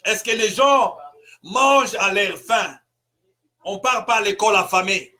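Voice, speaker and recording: Impassioned high-voiced Congolese preacher. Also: male voice, measured pace. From Congo (DRC). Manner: emphatic, rhetorical questions, bursts of shouting. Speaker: pastor. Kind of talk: impassioned political sermon.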